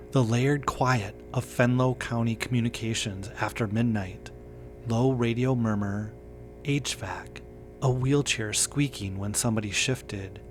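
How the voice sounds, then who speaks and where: A man reading a scripted first-person horror story in a measured, understated way. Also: lowish voice. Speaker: narrator.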